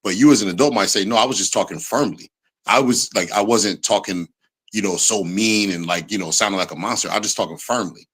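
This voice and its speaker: A man's voice: fairly low pitch, fairly quick, fairly bright tone, breathy edge. A man in his thirties in casual chat, explaining his view thoughtfully with many "like"s.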